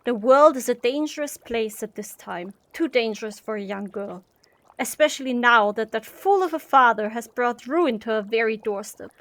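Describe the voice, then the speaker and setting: Woman playing a stern, higher-voiced mistress of the house. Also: expressive, moderate pace, a bit bright. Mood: scolding. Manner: commanding, formal, clipped. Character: stern, haughty, protective mother of a period household. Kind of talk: period audio drama acting.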